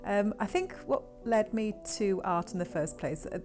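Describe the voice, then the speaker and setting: A woman with a Welsh accent speaks about her work as a textile artist in an unhurried documentary interview. Her voice sits in a middle register, and she pauses and restarts as she thinks. Soft music plays faintly underneath. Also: mid-range voice, animated. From Wales. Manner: thoughtful, earnest, with pauses and restarts.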